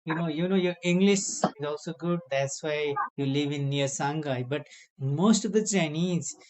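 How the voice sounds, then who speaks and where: A man's voice: fairly high pitch, moderate pace, a little mellow, low-quality recording. Chatty man in broken English. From Nepal. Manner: chatty, simple broken English, emphatic bursts. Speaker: channel host. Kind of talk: casual video chat.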